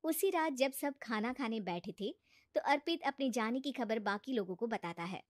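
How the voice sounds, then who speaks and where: High-voiced woman narrating a story. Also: lively intonation, moderate pace, no echo, clean studio sound. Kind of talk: scripted children's story narration.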